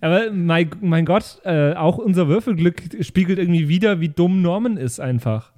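A man's voice: high voice, expressive, normal speed, warm tone. A man, a podcast host talking casually and informally to listeners in a self-mocking way. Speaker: podcast host and player of an improvised tabletop role-playing show. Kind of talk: casual chatty podcast outro.

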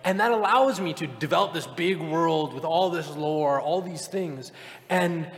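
A man with a high voice explains game design on a live panel with dry humor. He is heard in a big convention hall, and a long reverb follows his words. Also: unhurried. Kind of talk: casual panel talk before a live audience.

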